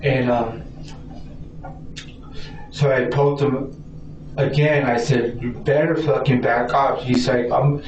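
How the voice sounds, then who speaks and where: A man gives a halting account as a suspect in a police interview. He stammers, repeats words and breaks off, often voicing remembered dialogue. The sound is distant and echoey, with clear noise. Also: medium pitch, fairly even intonation, a little mellow. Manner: stammering, repetitive, fragmented, acting out quoted dialogue. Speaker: suspect being questioned by police. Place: indoor police interview room. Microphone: distant room recording. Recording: echoey room, distant muffled mic, noisy.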